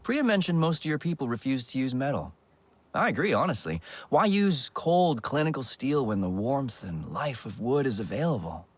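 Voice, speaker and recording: A man's voice: medium pitch, normal speed, husky, no echo. An actor playing a friendly, talkative male construction worker in a video game. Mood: candid. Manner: chatty, enthusiastic, animated. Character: friendly male construction worker, chatty and warm about wood. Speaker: builder who left the corporation.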